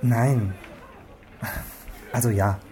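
Young male rookie officer, radio drama: understated, frustrated, middle-pitched, clear background noise. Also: steady tone, extremely slow, warm tone. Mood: reserved. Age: young adult. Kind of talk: naturalistic radio crime drama acting. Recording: clearly noisy ambience behind voice.

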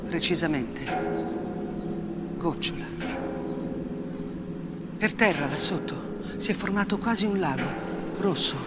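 An actress playing a weary, defiant woman journalist bearing witness in a dramatic theatre monologue, with deliberate, clipped phrases and emphatic repetition. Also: lowish voice, heavy background noise, close to an everyday voice. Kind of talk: dramatic theatre monologue, first-person testimony.

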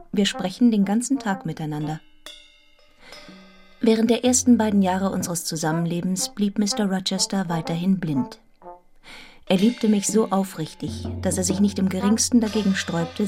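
An actress playing a devoted, composed young wife in a radio drama, speaking in a measured, intimate, reflective way. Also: female voice, mid-range voice, natural voice. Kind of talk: literary radio drama, first-person narration and dialogue.

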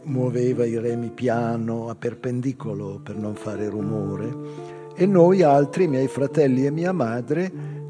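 An actor playing a melancholy old whaler in a measured, reflective theatrical monologue about his life. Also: mid-range voice, lightly acted. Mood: wistful, then reminiscing. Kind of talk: literary dramatic monologue. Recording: reverberant stage hall, guitar music behind. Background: live guitar music.

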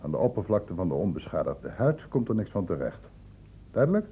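An actor playing a patient, probing public-health doctor in a radio drama, explaining and questioning insistently. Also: male voice, low-pitched, big pitch swings, brisk, warm tone. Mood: insistent, lecturing. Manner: insistent, explanatory questioning with short pointed prompts. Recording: dull lo-fi old radio play recording.

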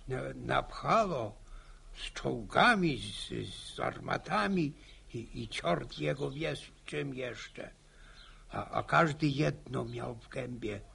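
Old village grandpa, radio play, really creaky and slow, stutters and repeats himself, easily worked up. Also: male voice, mid-range voice, a bit bright, heavily acted. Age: older. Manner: halting, stammering, repeating words. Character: pious, garrulous old Polish villager, easily alarmed. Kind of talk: radio drama acting.

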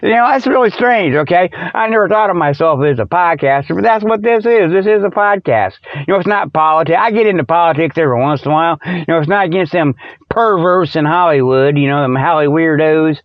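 A man's voice: fairly high pitch, brisk, no echo. A man hosting a podcast, rambling through a comedic monologue in folksy, colloquial speech with a mock-salesman streak. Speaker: podcast host. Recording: dull, lo-fi radio-style audio.

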